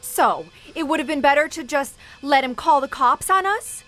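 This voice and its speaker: A young woman bank teller on the run in a period radio drama. Her voice is high, brassy and nervous. She snaps out slangy wisecracks over faint music. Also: normal speed, a bit bright. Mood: anxious. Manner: snappy, slangy wisecracking delivery.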